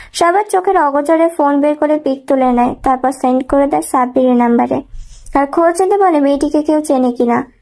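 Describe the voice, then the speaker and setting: A woman's voice: high voice, a little mellow. A woman reading scripted narration of a dramatic thriller story as a storyteller.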